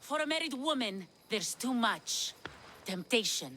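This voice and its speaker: A stern cold priestess. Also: female voice, on the high side, big pitch swings, measured pace, pushed and bright, slight background noise, exaggerated voice. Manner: cold, commanding. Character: stern priestess presiding over the games.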